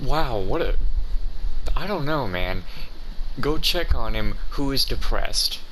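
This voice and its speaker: A man's voice: medium pitch, lively intonation, takes it slowly, bright, forceful tone, slight background noise, rough recording. A man giving casual, rambling, offhand commentary as he plays through a video game. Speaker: gaming video host. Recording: game music behind the voice.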